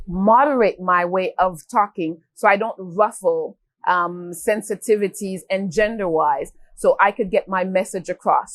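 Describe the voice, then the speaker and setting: A woman's voice: medium pitch, no room sound. Earnest woman, dry close sound. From Haiti. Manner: earnest, with frequent fillers and repeated "in that manner". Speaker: NGO worker. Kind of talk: interview answers.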